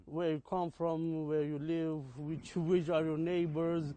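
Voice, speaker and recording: A man's voice: fairly high pitch, flat delivery, slow, warm tone. A man from Romania with a Romanian accent, answering interview questions in hesitant English full of fillers.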